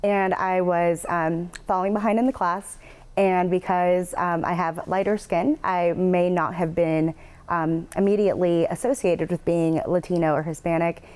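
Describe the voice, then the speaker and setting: Soft-spoken woman sharing her story. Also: lowish voice, fairly even intonation, normal speed, clear, resonant tone. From United States. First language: Spanish. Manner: soft-spoken, earnest, frequent ums. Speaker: panelist. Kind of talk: personal storytelling on a panel.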